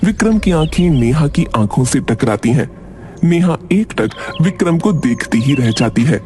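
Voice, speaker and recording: Higher-pitched, lively male story narrator. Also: normal speed, faint music behind. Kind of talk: scripted cartoon story narration.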